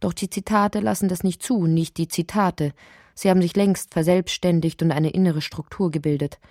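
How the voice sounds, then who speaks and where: A woman playing a whimsical narrator in a literary radio play, with a husky voice. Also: fairly low pitch, steady tone, moderate pace, darkish tone, no echo, studio quality, natural voice. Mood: musing, measured.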